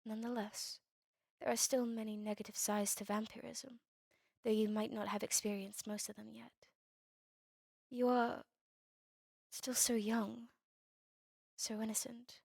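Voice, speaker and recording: A woman playing a melancholy, courteous vampire in an ASMR roleplay drama, with formal, old-fashioned, measured phrasing. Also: a little high, little pitch movement, creaky, darkish tone, no echo, studio quality. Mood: somber, pessimistic. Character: melancholy, courteous female vampire, turned young over a century ago.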